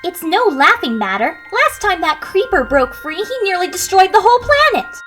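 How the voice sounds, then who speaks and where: A woman's voice: very high-pitched, big pitch swings, moderate pace, fairly bright tone, soft background music, heavily acted. A woman voicing a spirited, scolding young female hedgehog heroine with an earnest, girlish manner in a fan audio drama. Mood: stern, concerned.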